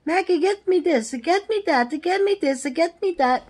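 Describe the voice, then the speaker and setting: A woman voicing a joking older Italian-American husband who plays pranks and calls out loudly to his wife. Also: very high voice, animated, normal speed, pitched above the performer's own voice. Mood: teasing.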